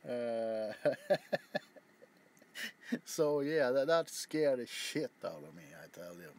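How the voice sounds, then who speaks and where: A man's voice: mid-range voice, very animated, slow. A man chatting casually to his viewers as a video host, rambling with frequent stammers, restarts and casual swearing. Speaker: ASMR YouTuber.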